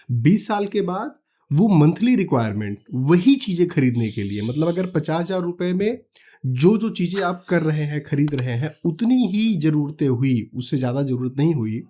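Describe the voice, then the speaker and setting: A man from India calmly explaining retirement money planning step by step, talking the listener through numbers and examples. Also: on the high side. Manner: calm, practical, walks through numbers step by step. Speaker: finance educator. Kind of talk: explanatory talk to camera.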